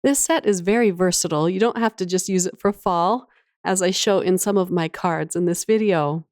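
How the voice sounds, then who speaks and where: Female craft-tutorial host, lowish voice slightly off from a cold, casual and enthusiastic, very dry voice-over sound. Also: moderate pace, a little mellow, no echo.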